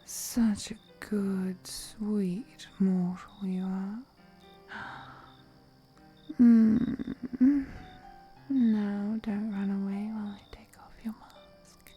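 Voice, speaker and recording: Woman acting a slow, whispering, seductive ancient vampire lady. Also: medium pitch, little pitch movement, mellow, soft background music. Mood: tender, seductive. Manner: breathy whispering, slow, teasing, formal courtly phrasing. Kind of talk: intimate roleplay audio acting, mostly whispered.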